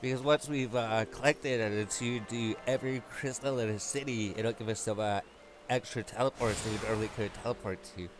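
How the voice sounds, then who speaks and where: A man's voice: mid-range voice, steady tone, a little fast, background noise. A man hosting a gaming video, casually thinking aloud as he gives a walkthrough. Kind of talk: casual game walkthrough commentary. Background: game music.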